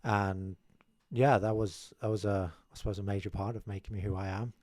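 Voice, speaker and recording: A man's voice: on the low side, fairly even intonation, fairly quick, darkish tone, no room sound, studio quality. A young man from South Africa, a podcast guest talking casually and modestly about his life, often restarting his sentences. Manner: modest, rambling with many restarts. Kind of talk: casual interview chat. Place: small studio room with curtains. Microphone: desk mic on boom arm, headphones.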